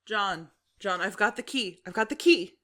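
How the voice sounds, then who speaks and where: A woman's voice: mid-range voice, animated, moderate pace, pushed and bright. An actress playing an alarmed woman of the household, calling out urgently from a distance in an audio drama. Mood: panicked. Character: alarmed woman of the household outside a locked door.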